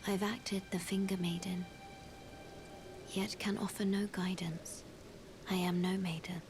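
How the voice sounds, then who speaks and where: Woman acting a slow, hushed, mysterious spirit maiden. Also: on the low side, flat delivery, over music, lightly acted. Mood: wistful, solemn. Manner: archaic, hushed, measured speech. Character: mysterious young maiden spirit, solemn, burned and bodiless. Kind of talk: fantasy video game acting.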